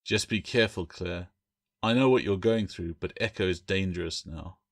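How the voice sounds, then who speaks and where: Man playing a grim, low-voiced pirate captain. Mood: grave, wary. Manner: measured, ominous, firm. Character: grim, wary, tall male pirate captain.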